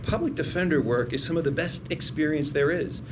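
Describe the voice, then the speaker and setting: An actor playing a brilliant, stubborn older brother, a former attorney who lectures pedantically, in a table read of a drama script. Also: male voice, on the high side, brisk, background noise, close to an everyday voice. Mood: lecturing. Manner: lecturing, pedantic, quoting Latin.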